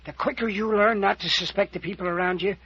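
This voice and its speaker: Gruff, husky, high-pitched rustic mayor. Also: male voice, fairly even intonation, fast. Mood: stern, commanding. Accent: American. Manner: blunt folksy commands. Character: gruff, stubborn, domineering rustic town mayor. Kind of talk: old-time radio mystery acting. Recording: dull, muffled vintage radio recording.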